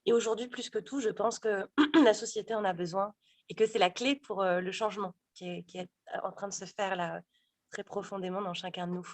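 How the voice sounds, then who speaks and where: A woman's voice: medium pitch, fairly even intonation, a little fast, no room sound. A woman in her thirties, a sophrologist and stage actor, talking casually in an interview with many fillers and restarts. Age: 30s.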